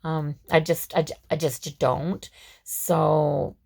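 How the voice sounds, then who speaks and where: Low, gravelly woman. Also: little pitch movement, takes it slowly, a little mellow. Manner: chatty, with frequent fillers and restarts. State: scratchy, hoarse voice. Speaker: craft vlogger. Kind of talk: casual step-by-step craft tutorial.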